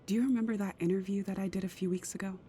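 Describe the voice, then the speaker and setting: A woman acting a careful, troubled career mother in an intimate audio drama, measured and sometimes hesitant. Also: mid-range voice, steady tone, mellow, a little hiss or hum, lightly acted. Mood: anxious, confiding.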